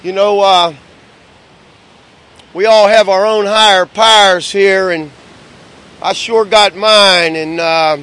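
A man's voice: high voice, steady tone, slow pace, pauses often, a bit bright, smooth. A man from Pittsburgh speaking at a recovery convention in a chatty, folksy way to the audience. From Pittsburgh, United States. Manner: chatty and folksy, many "boy" and "uh" fillers.

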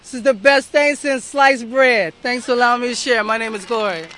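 A woman's voice: a little high, fairly quick, background noise. A young woman from the southern United States giving a heartfelt, earnest personal testimony at a recovery meeting, speaking straight to the crowd. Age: young adult.

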